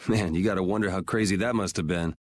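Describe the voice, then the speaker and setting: Laid-back, wry young hero. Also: male voice, sits low, fast talker, no echo. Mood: curious, wry. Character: casual, wry, cool-headed young swordsman. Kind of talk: English game dub acting.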